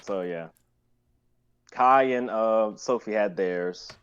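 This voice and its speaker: Man, twenties, lower-pitched voice, casual livestream chat, rambling explanations that circle back. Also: takes it slowly, smooth, highs cut off. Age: 20s. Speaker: writer and performer on a group livestream.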